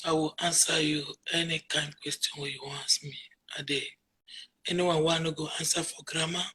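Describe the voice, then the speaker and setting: A Nigerian woman whose first language is Igbo, answering interview questions emphatically, dropping to near murmurs and then rising to loud declarations. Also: low-pitched, level tone, normal speed, slight background noise, poor audio. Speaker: interviewee, activist. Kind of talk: emotional call-in interview.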